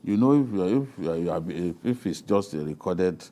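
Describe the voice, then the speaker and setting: A middle-aged man with a Nigerian accent in an unscripted panel discussion, speaking haltingly and repeating words. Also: low-pitched, lively intonation, warm tone.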